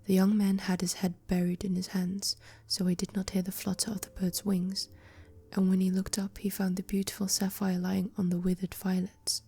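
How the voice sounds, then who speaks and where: A woman whispering a fairy tale aloud, in a slow, even storytelling manner. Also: medium pitch, breathy edge. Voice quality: whispering throughout. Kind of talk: whispered bedtime story reading.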